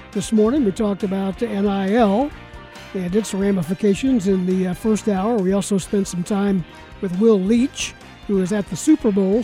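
American guy hosting sports radio, high voice, soft mellow tone, music in there. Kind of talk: live sports talk, handling callers. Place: radio studio. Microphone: close broadcast mic.

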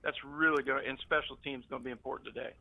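A man's voice: mid-range voice, fairly even intonation, rapid-fire, gravelly, pushed and bright, no echo. An older American man calling in to a radio show, giving casual sports opinions. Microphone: phone call. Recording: narrow phone line sound.

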